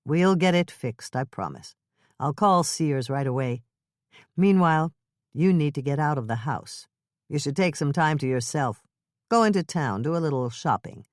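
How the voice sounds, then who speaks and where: A woman voicing a young father in an audiobook, calm and coaxing. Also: sits low, normal speed, no room sound, studio quality. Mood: reassuring. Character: easygoing young father, man, upbeat and reassuring. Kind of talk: audiobook character voice.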